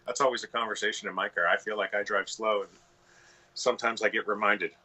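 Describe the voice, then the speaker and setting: Bright-voiced Canadian man, rambling. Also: fairly low pitch, steady tone, fast, poor audio. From Ontario, Canada. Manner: rambling, many restarts and "you know". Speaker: guitar tech and stage manager, guest. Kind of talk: casual podcast interview.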